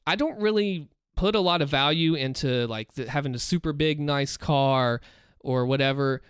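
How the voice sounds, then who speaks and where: A man's voice: medium pitch, moderate pace, clean tone, no echo, studio quality. A man with a Southern US accent, chatting casually on a livestream, thinking aloud and encouraging viewers. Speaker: streamer. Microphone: close desk mic with headphones.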